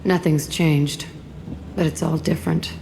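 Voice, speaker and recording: A woman's voice: low-pitched, steady tone, measured pace, gravelly, noisy background. An actress playing a tough, haunted, vengeful young woman in a video game, blunt, profane and defiant. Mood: haunted, bitter. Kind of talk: dramatic video game acting. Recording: music behind the voice.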